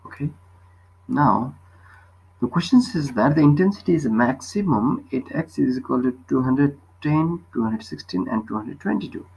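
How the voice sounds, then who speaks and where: A man's voice: medium pitch, lively intonation, moderate pace, gravelly, darkish tone, breathy edge, low-quality recording. A man tutoring physics, explaining a worked problem step by step and often checking with short questions.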